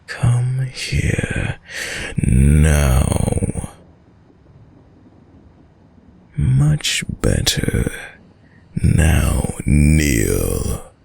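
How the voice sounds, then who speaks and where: A man playing a sadistic, dominant vampire in an intimate audio roleplay, speaking in a commanding, silkily menacing and condescendingly tender way, deep and breathy. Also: a little mellow, exaggerated voice. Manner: slow, commanding, condescendingly tender, often dropping to whispers. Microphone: close, dry studio mic.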